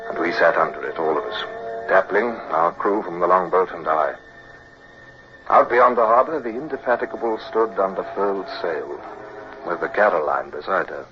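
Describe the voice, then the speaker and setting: An actor playing an earnest, observant young naval officer in an old radio drama, with clipped formal speech. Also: male voice, low-pitched, normal speed, music in the background, lightly acted. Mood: measured narration. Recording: dull, hissy old radio recording.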